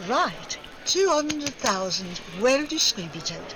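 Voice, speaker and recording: A woman's voice: on the high side, sing-song, slow, a crowd in the background. A woman playing a possessive European femme fatale in a 1940s-style radio drama, imperious and coquettish.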